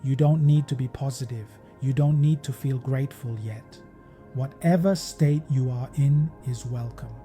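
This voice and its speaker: A man narrating a guided meditation in a gentle, measured, soothing manner, as a podcast host leading listeners. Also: medium pitch, fairly even intonation. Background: meditation music.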